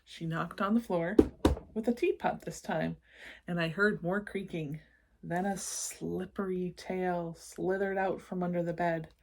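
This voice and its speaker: A woman's voice: lowish voice, steady tone, a little slow, noisy background. A woman narrating a children's storybook expressively, breaking off to explain and check in with young listeners. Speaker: read-aloud storyteller for children.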